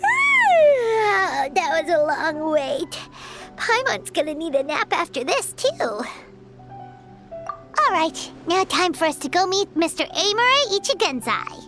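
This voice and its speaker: A tiny childlike fairy companion for a video game. The squeaky voice is extremely high, bouncing wildly up and down with perky energy, over faint music. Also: female voice, sing-song, slow pace, fairly bright tone, full character voice. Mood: excited. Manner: perky, third-person self-reference.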